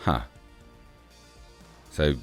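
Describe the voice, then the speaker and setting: A man's voice: drawn-out words, faint music behind. A man giving casual, off-the-cuff commentary on film news as a reviewer, blunt and sceptical in manner. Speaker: film reviewer, channel host.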